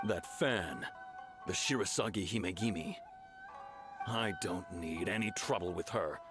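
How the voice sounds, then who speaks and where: A man's voice: low voice, measured pace, over music. A man playing an officious, easily irritated guard chief in an anime game, speaking in a stern, curt manner. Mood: grudging.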